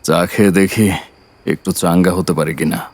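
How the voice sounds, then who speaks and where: An actor in an audio drama playing a tired doctor, wary and easily irritated. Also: male voice, deep voice, fairly even intonation, fairly quick. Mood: weary.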